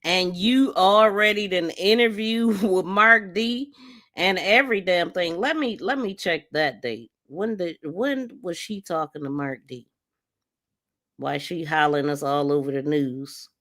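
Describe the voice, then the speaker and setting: Slow-paced, scornful woman podcaster. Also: fairly low pitch, fairly bright tone. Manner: scornful, exasperated, blunt, swearing; emphatic, repeats phrases mockingly. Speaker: crime podcast host. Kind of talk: casual live commentary on played audio.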